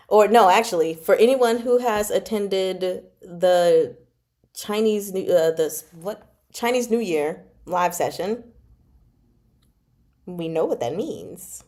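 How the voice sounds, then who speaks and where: A woman hosting a casual book chat with a very lively, swooping pitch. She takes her time, stretching words for emphasis and dropping in slangy, dramatic asides like an excited fan. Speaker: book club host and reviewer.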